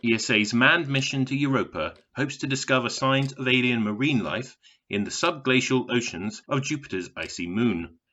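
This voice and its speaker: A polished male news reporter in an audio drama. His voice is bright and projected, with formal announcer phrasing. The broadcast sound is a little dulled. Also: mid-range voice, normal speed, muffled recording. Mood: formal, reporting. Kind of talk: scripted news broadcast commentary.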